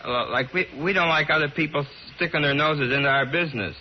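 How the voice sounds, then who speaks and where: An actor playing a nervous, simple-minded carnival knife thrower in an old-time radio drama, stammering, hesitant and repeating himself. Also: male voice, on the high side, a little fast, close to an everyday voice. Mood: nervous, evasive. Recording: muffled vintage radio with faint hiss.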